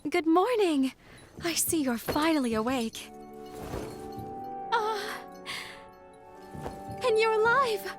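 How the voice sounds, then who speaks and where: Super high gushy doctor girl, excitable n warm, video game scene w music behind. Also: slow pace, pauses often, heavily acted. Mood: overjoyed. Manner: gushing, effusive, apologetic. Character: bubbly, excitable young female doctor, warm and eager. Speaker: physician. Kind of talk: video game cutscene acting.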